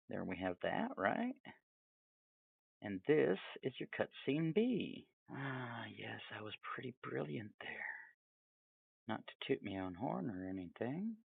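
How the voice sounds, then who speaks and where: A man giving a casual, off-the-cuff software tutorial, rambling along with chatty, self-amused asides. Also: on the low side, very animated, slow, vocal fry, long pauses, slightly rough, dry recording, narrow, tinny audio. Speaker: tutorial presenter.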